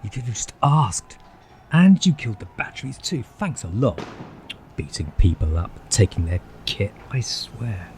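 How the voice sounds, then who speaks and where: A man's voice: fairly low pitch, sing-song, moderate pace, darkish tone, slight background noise. A man playing a nervous, put-upon sidekick in an audio horror drama, informal and muttering. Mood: grumbling.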